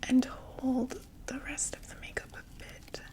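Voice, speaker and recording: A woman in an ASMR roleplay voicing a chatty undead female vampire makeup saleswoman, whispering softly and reassuringly. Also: sits high, little pitch movement, measured pace. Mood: soothing. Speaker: ASMR performer.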